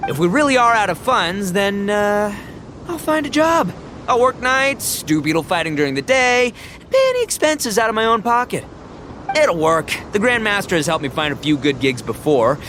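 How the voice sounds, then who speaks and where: A man's voice: high-pitched, very animated, normal speed, noisy background. A man playing a brash, boastful, goofy young oni gang boss in a video game, slangy and exuberant. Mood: earnest, determined. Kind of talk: animated video game voice acting.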